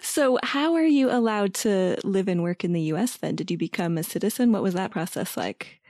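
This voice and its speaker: An American woman hosting a podcast, introducing and interviewing a guest in a friendly, measured way. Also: mid-range voice, expressive, a little mellow. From United States. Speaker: podcast host.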